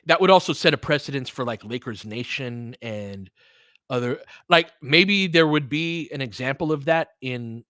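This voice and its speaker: A man's voice: mid-range voice, expressive, moderate pace, sharp tone, dry recording. Casual, profane man chatting unscripted, dry sound. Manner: earnest, rambling, freely profane. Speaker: podcast host and sports play-by-play streamer. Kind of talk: unscripted casual chat with listeners.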